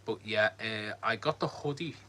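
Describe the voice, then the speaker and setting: A man's voice: on the low side, moderate pace, pushed and bright, a little hiss or hum. Rambling Scouse man chatting live. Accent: Liverpool (Scouse). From Liverpool, England. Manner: rambling, many ums and likes, friendly. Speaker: livestream host. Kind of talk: casual live Q&A chat reading comments. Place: home, lit by a ring light.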